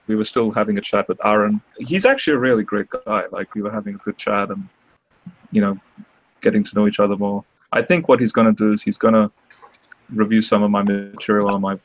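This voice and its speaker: A man's voice: lowish voice, a little hiss or hum, poor audio. An Australian man who took part in a debate, talking modestly over voice chat with many restarts. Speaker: debate participant. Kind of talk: casual voice-chat discussion. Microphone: online voice chat.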